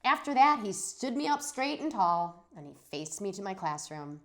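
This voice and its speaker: A woman teacher giving an expressive read-aloud of a children's story, acting out the dialogue. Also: mid-range voice, moderate pace. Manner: animated, dramatizes dialogue. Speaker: teacher reading a chapter aloud for students.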